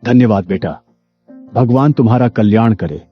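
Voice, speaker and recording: Cartoon story hermit, male actor: wise, benevolent, rough-edged voice, medium pitch, music bed. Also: normal speed, natural voice. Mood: weary, kindly. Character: wise holy hermit sadhu, solemn, kindly blessing. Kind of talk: animated Hindi moral story acting. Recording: dull lo-fi audio with music behind.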